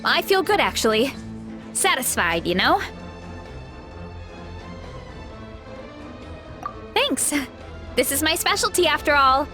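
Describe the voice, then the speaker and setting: An earnest, bashful young farm woman from an anime-style game. Her voice is high and swings freely up and down, sweet and modest, over faint music. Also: big pitch swings, moderate pace, sharp tone, exaggerated voice. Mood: bashful, cheerful. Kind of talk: anime-style video game dialogue acting.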